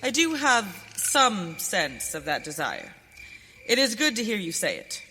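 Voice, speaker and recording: Actress doing masked cowboy hero, formal n steady, bright voice, western radio play in echoey hall. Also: female voice, medium pitch, exaggerated voice. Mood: resolute. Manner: formal, deliberate diction without contractions. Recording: hall reverb, faint noise.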